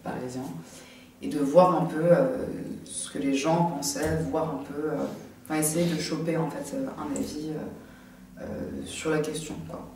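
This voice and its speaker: A young woman from France, an art student, answering interview questions casually and haltingly, often pausing to think. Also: sits low, fairly even intonation, a little mellow. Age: young adult. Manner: halting, thinking aloud with many pauses. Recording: very echoey, distant from the mic, faint noise.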